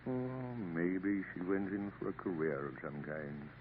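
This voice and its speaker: An actor playing a gentle, weary older father in a 1950s radio drama, soft-spoken and wistful. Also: low-pitched, a little slow. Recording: tinny old radio broadcast, faint hiss.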